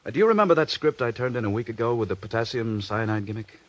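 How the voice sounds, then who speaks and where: An actor playing a calculating scriptwriter who narrates his own crime in a 1940s-style radio drama, measured and quietly polite. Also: medium pitch, lively intonation, no echo, dull audio, natural voice. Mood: feigned meekness. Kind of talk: 1940s radio acting with first-person narration.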